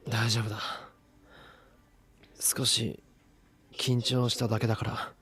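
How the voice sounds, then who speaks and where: An actor playing a guarded, subdued young man in an anime visual novel, speaking tersely in a husky, breathy voice. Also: mid-range voice, level tone, moderate pace, a bit bright, faint music behind. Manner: terse, restrained.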